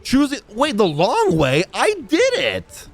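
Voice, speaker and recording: A man giving casual, informal live reactions as he streams a video game, exclamatory and animated. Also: extremely high pitch, takes it slowly, soft background music.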